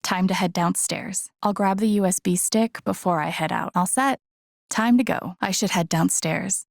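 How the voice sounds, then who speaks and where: A woman's voice: on the low side, normal speed, gravelly, dry recording, clean studio sound. An actress playing an empathetic young woman in a video game, thinking aloud in a casual, wry, understated way. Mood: casual, musing. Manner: casual, wry, muttering to herself. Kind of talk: understated video game voice acting, interior monologue.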